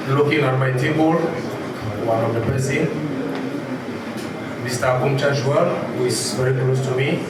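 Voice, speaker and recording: A man gives a formal, thankful speech to a gathering, opening with "ladies and gentlemen". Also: mid-range voice. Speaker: safety professional addressing a gathering. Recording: echoey far-off room recording, noisy.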